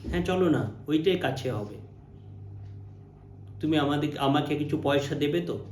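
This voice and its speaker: A man's voice: fairly high pitch, lively intonation, moderate pace, a little mellow, slight background noise, close to an everyday voice. Man playing small beggar boy, whining, insistent, storybook tale, roomy echo. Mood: eager. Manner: whining, pleading, repetitive. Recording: roomy, echoing sound.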